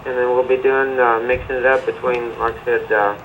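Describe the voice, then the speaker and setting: A man from Middle Tennessee, talking about his music in a relaxed, casual way with many pauses and "uh" fillers. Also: medium pitch, a little hiss or hum, poor audio. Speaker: musician guest. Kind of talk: casual interview answers. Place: remote link to a TV studio.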